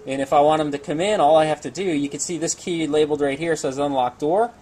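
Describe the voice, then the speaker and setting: A man's voice: a little high, fast, dark tone. Man demonstrating gear, noisy room. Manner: plain-spoken, explanatory. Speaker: presenter demonstrating technical products. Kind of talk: informal technical product demonstration. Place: indoor equipment lab or demo room. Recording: clear background noise in room.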